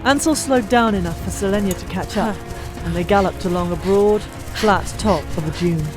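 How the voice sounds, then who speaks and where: A woman's voice: mid-range voice, moderate pace. A woman narrating a fantasy audiobook in a dramatic, theatrical storytelling style. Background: music.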